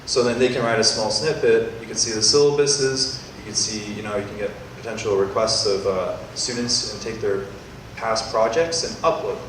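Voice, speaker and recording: A man's voice: lowish voice, steady tone, normal speed, noisy background. Rambling young man in echoey hall. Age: young adult. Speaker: student startup presenter. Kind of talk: pitch Q&A answer. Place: university event hall. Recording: echoey hall, distant mic.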